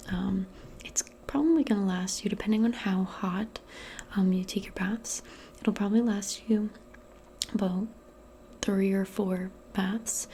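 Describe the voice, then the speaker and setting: A woman in a soft-spoken ASMR role play as a modern witch, talking in a gentle, hesitant, breathy half-whisper. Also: medium pitch, a little hiss or hum. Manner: hushed, gentle, hesitant and informal.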